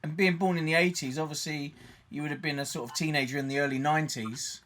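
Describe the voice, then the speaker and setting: A man's voice: fairly high pitch, steady tone, fast, a bit bright. A man from England hosting an interview, asking casual questions with short prompts and informal grammar. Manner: informal, short prompts, says "you was". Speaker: interview host.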